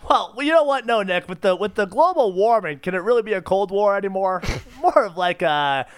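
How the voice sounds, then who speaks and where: A man's voice: high voice, animated, brisk, clean tone. A man co-hosting a podcast with casual, playful comedic banter while sick with a cough. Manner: jokey, playful. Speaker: podcast co-host.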